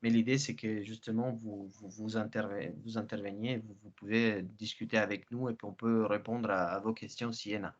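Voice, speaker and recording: A man's voice: fairly low pitch, flat delivery. A man in his thirties with an Italian accent, a researcher presenting informally and hesitantly on a video call. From Italy. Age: 30s. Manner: hesitant, halting, repeats words. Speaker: researcher, team leader presenting. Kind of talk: informal online science presentation. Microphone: video call microphone. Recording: muffled video-call audio.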